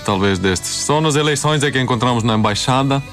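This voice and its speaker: Halting man over music. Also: medium pitch, a bit bright. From Finland. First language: Swedish. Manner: halting, searching for words in a second language. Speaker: interview guest. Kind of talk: casual interview conversation.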